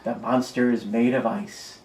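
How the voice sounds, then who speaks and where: A man's voice: mid-range voice, level tone, moderate pace, darkish tone, lightly acted. A man reading a storybook as a frightened little boy, anxious and insistent. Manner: insistent, quivering, sometimes whispering. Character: anxious, pleading little boy afraid of monsters. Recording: echoey home recording, distant mic, background noise.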